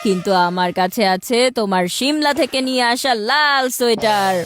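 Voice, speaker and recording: A woman's voice: fairly high pitch, lively intonation, normal speed, a bit bright, soft background music. A woman voicing a feisty, boastful, scheming young child in a cartoon, with a theatrical, taunting and wheedling manner. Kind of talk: cartoon dialogue dub.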